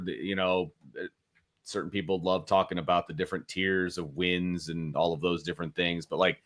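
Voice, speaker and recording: A man's voice: deep voice, fairly bright tone. A man co-hosting a sports show as analyst, giving opinions in casual, emphatic, colloquial talk and repeating his key phrases.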